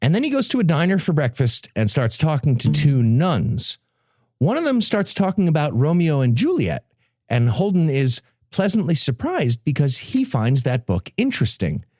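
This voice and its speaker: Animated, sardonic male podcaster. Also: on the high side, normal speed, no room sound, studio quality. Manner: sardonic, sweary, emphatic. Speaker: podcast presenter. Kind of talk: comic, sardonic summary of a novel.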